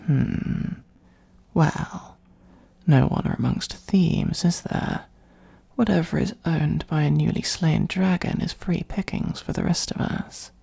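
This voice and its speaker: An ancient shape-shifting dragon, played by an ASMR performer, speaks slowly in a dark, mellow, breathy half-whisper, very close and dry. The phrasing is formal and wry, that of a patient, self-serving adviser in a fantasy roleplay. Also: medium pitch, no echo, full character voice. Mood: sly, curious.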